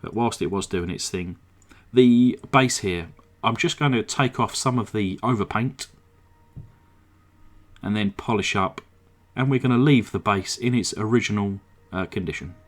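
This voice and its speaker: A man with a slightly croaky throat narrating his model car restoration at a home workbench, in a relaxed, chatty way full of "uh" fillers. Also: lowish voice, normal speed. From England. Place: home workshop. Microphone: desk microphone.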